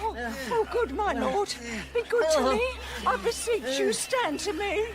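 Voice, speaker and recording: An indignant poor widow in radio Shakespeare. The voice is high and swoops widely, with slow, drawn-out complaints that repeat and exclaim. She is long-suffering and scolding. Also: big pitch swings, exaggerated voice. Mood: pleading. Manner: garrulous, repetitive, exclamatory.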